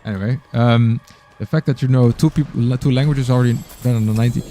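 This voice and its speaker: A man's voice: medium pitch, fairly even intonation, brisk, warm tone, soft background music. A man with a Dutch accent giving casual gameplay commentary as a streamer, rambling and sometimes searching for words. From Netherlands. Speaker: game streamer.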